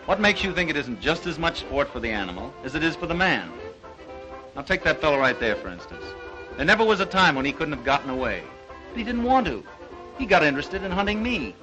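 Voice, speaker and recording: An actor playing a confident young big game hunter in 1930s film style, breezy and self-assured. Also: male voice, a little high, big pitch swings, quick pace, over music, low-quality recording. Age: young adult.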